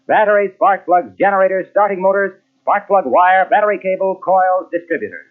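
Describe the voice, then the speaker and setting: A man's voice: high-pitched, brisk. An American man announcing and reading sponsor copy in an emphatic, polished vintage radio style. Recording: muffled narrowband 1940s-50s radio, cassette transfer.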